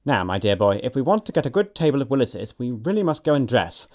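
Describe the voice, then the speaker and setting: An actor playing a witty, flippant young upper-class English dandy with an arch, playful delivery, in an audio production of a stage comedy. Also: male voice, medium pitch, sing-song, fast talker, a little mellow, natural voice. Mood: breezy.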